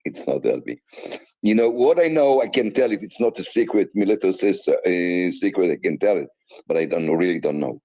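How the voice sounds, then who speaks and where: An older man from Poland chatting casually on a call, laughing often and speaking haltingly. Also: sits low, lively intonation, darkish tone. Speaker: guest. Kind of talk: casual chat. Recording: dull lo-fi call audio.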